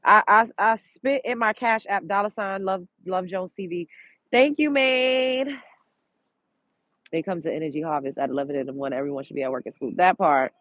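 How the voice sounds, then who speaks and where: A woman's voice: fairly low pitch, a little fast, a bit bright, dry recording, lo-fi sound. A congested woman from Brooklyn, chatting bluntly and profanely on a live stream, outdoors on a street, reading viewer comments. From Brooklyn, New York.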